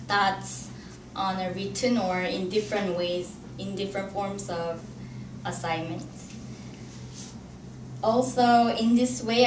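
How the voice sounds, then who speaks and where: Young korean-accented woman presenting a paper, takes it slow, recorded from far off in a noisy room. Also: mid-range voice. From South Korea. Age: young adult. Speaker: graduate student presenter. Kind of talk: academic presentation. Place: indoor room at an academic forum. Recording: echoey, noisy room, distant mic.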